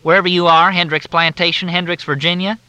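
A man's voice: sits high, little pitch movement, brisk, pushed and bright, clear, resonant tone, no room sound, pitched above the performer's own voice. A man voicing a courteous Southern army major with a thick drawl that makes everything sound like a question. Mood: folksy, chatty.

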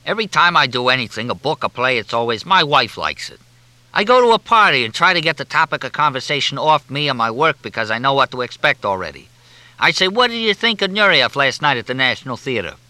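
A cantankerous newspaper wit, acted by a man reading a novel, rants through a chewed cigar. The voice is pushed high and sharp, swinging widely as he badgers with crude, repeated questions. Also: big pitch swings, quick pace, dry recording, poor audio. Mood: exasperated ranting. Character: cantankerous, ranting male newspaper humor columnist.

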